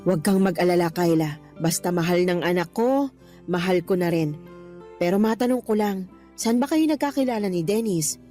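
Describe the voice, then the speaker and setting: An actress in a Filipino radio drama playing a warm, playful middle-aged mother. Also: fairly low pitch, normal speed, faint music behind, lightly acted. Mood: reassuring.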